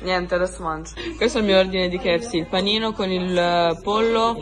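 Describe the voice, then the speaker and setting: A woman's voice: on the low side, steady tone, fairly quick, crowd noise. A woman in her twenties, vlogging casually to her phone at home and chatting to viewers as she goes. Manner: chatty, addresses viewers as "raga". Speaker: lifestyle vlogger. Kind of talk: casual chat to camera. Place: apartment, indoors. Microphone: phone camera at arm's length. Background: background music.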